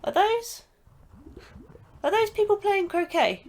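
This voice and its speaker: A woman's voice: extremely high pitch, sing-song, slow pace, full character voice. A woman playing a curious, chatty young science officer who likes to tease her colleagues in an audio drama.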